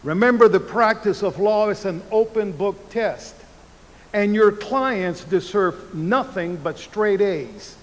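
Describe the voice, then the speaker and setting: A man in his seventies, born in Cuba, addresses graduates from a podium microphone. His voice sits fairly high for a man and moves at an unhurried, measured pace. The arena's long reverb trails after each phrase. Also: lively intonation. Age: 70s. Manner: slow, deliberate oratory with emphatic pauses. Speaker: commencement speaker. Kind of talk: commencement speech. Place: large university arena.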